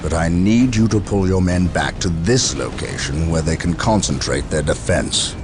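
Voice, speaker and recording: An actor playing a stern, resolute space marine commander in a video game cutscene, speaking with formal military authority. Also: male voice, low voice, moderate pace. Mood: stern, firm. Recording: music behind the voice. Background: music.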